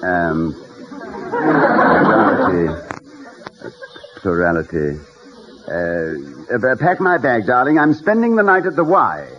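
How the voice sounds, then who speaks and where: An actor playing a genial, tactful college president who speaks politely and carefully in a vintage radio comedy. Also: male voice, fairly low pitch, expressive, a crowd in the background. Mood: playful, flustered. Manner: polite, measured, hesitant, wordy. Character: genial, courtly college president, tactful and gently whimsical husband. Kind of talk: 1940s-50s radio comedy acting. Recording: muffled, hissy vintage radio recording.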